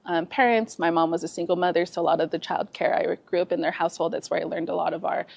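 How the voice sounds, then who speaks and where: A woman from San Jose, a guest poet, chatting softly in an interview and reciting a rhythmic spoken-word poem. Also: on the low side, fairly even intonation, fast talker, gravelly, no room sound. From San Jose, California. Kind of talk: casual interview and poetry recital. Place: community space in an office building.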